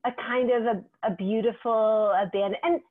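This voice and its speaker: A woman's voice: a little high, normal speed. A woman in her forties from California, a poet talking animatedly from a room with bookshelves over a video call. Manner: animated, gestures with hands, reflective. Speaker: poet, guest. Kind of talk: casual conversation. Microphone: clip-on mic over video call. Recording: thin phone-like video call audio.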